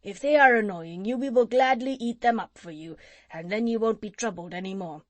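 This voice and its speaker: A helpful shark offers his services in a quick, matter-of-fact voice, a little softer than the narration, as a woman reading a storybook puts him on. The sound is dry and close. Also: medium pitch, no echo, close to an everyday voice. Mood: brisk. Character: helpful male shark, businesslike.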